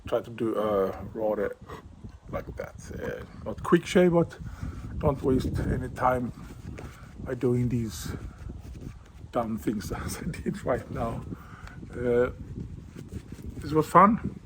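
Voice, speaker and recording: A man's voice: mid-range voice, animated, takes it slowly, pauses often, noisy background. A man with a Swedish accent, a native Swedish speaker, hosting a casual shaving vlog and now and then searching for English words. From Sweden. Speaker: shaving channel host.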